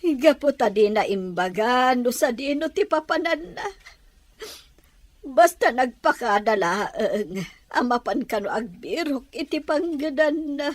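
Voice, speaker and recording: A woman acts out an anxious, grieving mother in a radio-style drama. Her voice is fairly high and very slow, plaintive in manner, with a dry, close sound. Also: expressive, no echo. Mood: worried. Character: worried, grieving mother of a missing daughter. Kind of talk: Ilocano audio drama acting.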